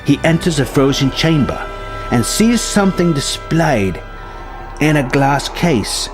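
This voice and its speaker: A man telling a film story as a narrator, with a dramatic delivery and pauses for suspense. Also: a little high, lively intonation. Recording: music bed under the voice. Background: music.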